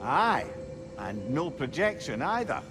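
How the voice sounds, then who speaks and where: An actor playing a wry, talkative companion in a video game, speaking conversationally. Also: male voice, fairly high pitch, sing-song, slow pace, over music. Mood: knowing. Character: wry, talkative male companion and loyal advisor. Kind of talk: video game character acting.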